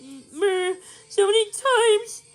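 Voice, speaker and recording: A woman reading a children's story aloud, expressive and a little halting, with small stumbles. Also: extremely high pitch, faint music behind. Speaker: storybook reader.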